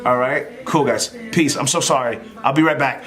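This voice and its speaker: Guy vlogging advice off the cuff, kinda rambly and apologetic, average pitch, music behind him. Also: moderate pace, a bit bright. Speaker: vlogger giving airline interview advice. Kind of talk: casual unscripted advice to camera.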